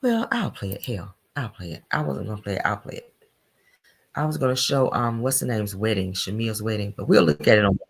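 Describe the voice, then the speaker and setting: A woman doing casual, blunt reality-TV gossip commentary for her viewers, her voice deepened by allergies. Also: very animated, fairly quick, creaky, slightly rough. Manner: blunt, slangy, talks straight to viewers.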